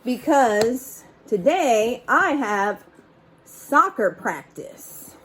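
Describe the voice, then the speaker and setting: A woman's voice: a little high, sing-song, extremely slow, fairly bright tone, slight background noise, heavily acted, low-quality recording. A woman voicing an eager young boy in a storybook adventure. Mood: content. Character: eager young boy aboard a pirate ship.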